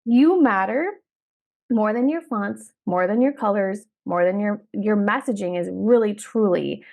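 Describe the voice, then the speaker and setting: A woman in her thirties hosting a solo podcast, talking in a direct, upbeat coaching manner, her voice slightly hoarse from a cold. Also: medium pitch, a little slow, a little mellow. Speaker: podcast host and career coach trainer.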